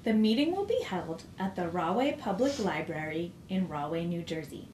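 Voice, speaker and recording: A woman's voice: fairly low pitch, lively intonation, moderate pace, darkish tone. Woman reading an announcement in an echoey room. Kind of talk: read public service announcement. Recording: echoey room with faint ambient hiss.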